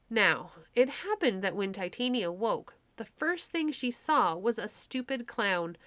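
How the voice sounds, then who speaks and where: A woman's voice: medium pitch, fairly bright tone, no room sound. A woman narrating a classic children's story in a scripted read-aloud style.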